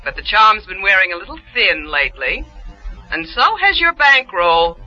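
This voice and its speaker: An actress playing a haughty, flippant gold digger in an old-time radio drama, mocking and coolly dismissive. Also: female voice, medium pitch, big pitch swings, moderate pace, sharp tone, soft background music. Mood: mocking, breezy. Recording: muffled vintage radio recording.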